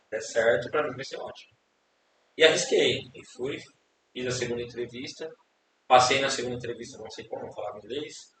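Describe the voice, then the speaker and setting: A young Brazilian man, a podcast guest, telling his life story in a casual, rambling way, repeating words and tagging questions. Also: medium pitch. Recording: echoey room, distant mic, hiss.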